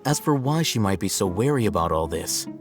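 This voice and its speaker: An actor playing a calm, aloof, analytical young scholar in a video game, measured and articulate. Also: male voice, fairly low pitch. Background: music.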